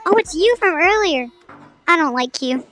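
A woman's voice: extremely high pitch, animated, normal speed, fairly bright tone, faint music behind. A woman streaming a video game with chatty, playful, mock-dramatic commentary. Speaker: gaming streamer. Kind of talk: casual livestream gameplay commentary.

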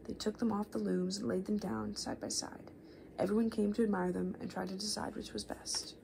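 A young woman speaks softly with a lot of creak, often sinking into a whisper. She reads and chats in a loose, informal ASMR manner. There is a noticeable hiss in the room. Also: mid-range voice, fairly even intonation, brisk. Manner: rambling, informal, gently chaotic asides. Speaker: ASMR creator reading children's books aloud. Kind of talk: soft-spoken ASMR reading and casual chat. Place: small living room, indoors.

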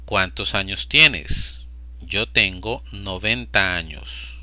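A man slowly and deliberately repeating short phrases for language learners. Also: deep voice, sharp tone, dry recording. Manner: slow, deliberate, evenly paced. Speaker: Spanish teacher. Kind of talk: slow repetition drill for learners.

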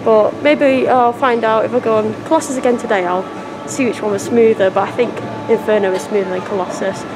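A young woman in her late teens, slightly hoarse, chatting enthusiastically into a phone held at arm's length at an outdoor theme park. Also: a little high, fairly even intonation, brisk. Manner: chatty, enthusiastic, asks companion questions. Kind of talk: casual vlog commentary. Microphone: phone camera held at arm's length. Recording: loud music and crowd noise at a theme park.

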